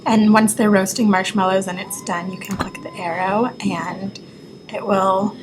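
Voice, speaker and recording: A woman's voice: medium pitch, flat delivery, a little slow. A woman giving an informal, explanatory walkthrough of an educational website as a reviewer. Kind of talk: casual screen-recorded walkthrough. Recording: clear background noise.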